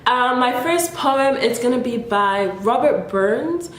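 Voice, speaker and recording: A woman's voice: a little high, animated, slow pace. A young woman from Nigeria chatting playfully to her viewers in a casual vlog, with laughs between remarks. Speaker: vlogger. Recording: echoey room.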